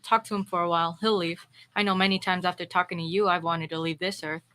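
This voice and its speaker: A woman's voice: fairly low pitch, fairly even intonation, quick pace, bright, forceful tone, clear, resonant tone. A female performer playing an irritable, insulting male office boss with blustery, cutting delivery in old radio comedy style. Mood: irritated, scornful. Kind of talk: 1940s radio comedy acting.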